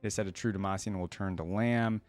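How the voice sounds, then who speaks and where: A man's voice: sits low, fairly even intonation, quick pace, dry recording, faint music behind. A man hosting a reaction video, switching between casual commentary and reading aloud.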